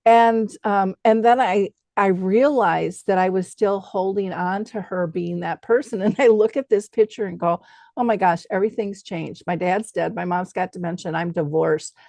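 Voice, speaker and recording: A woman's voice: medium pitch, moderate pace, vocal fry, mellow, no echo. Woman chatting in a dry space. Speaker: talk show host. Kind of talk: conversational personal reflection.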